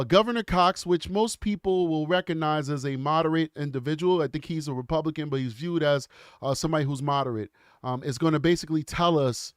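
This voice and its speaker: A man's voice: on the high side, no echo, clean studio sound. A man with a cold and a congested voice, hosting a casual livestream in a chatty, rambling way and laughing often. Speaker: show host.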